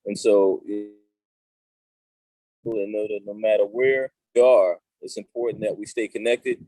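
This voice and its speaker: A middle-aged man hosting a casual talk show over a video call, relaxed and chatty with lots of fillers. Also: fairly low pitch, a little slow, no room sound, poor audio. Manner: relaxed, conversational, many fillers. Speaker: show host.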